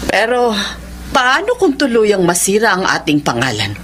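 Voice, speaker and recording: Worried filipina mom, earnest, voice rises and falls a lot, radio soap. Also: female voice, mid-range voice, huge pitch swings, moderate pace, noisy background. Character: concerned, supportive widowed mother. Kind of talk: Filipino radio drama acting.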